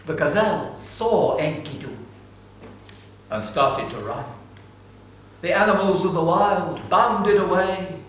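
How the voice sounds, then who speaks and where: A man's voice: high voice, takes it slowly, pauses often, a bit bright. A man narrating an ancient epic in a declamatory, solemn dramatic reading. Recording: distant mic, echoey room.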